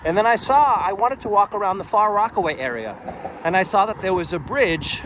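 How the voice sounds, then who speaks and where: A man's voice: high voice, expressive, a little fast, fairly bright tone, poor audio. Chatty New man walking outdoors. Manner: chatty, digressive, tour-guide explaining. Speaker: walking tour vlogger. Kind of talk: casual walking narration. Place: outdoors on a bridge footpath by the sea. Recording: outdoor street noise. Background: traffic and wind noise.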